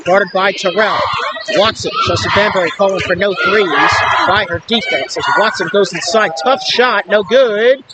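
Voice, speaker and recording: A man giving excitable live play-by-play radio commentary of a basketball game, calling out each play. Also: sits high, moderate pace. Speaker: play-by-play announcer. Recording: very loud arena crowd noise. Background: basketball game crowd and bouncing ball.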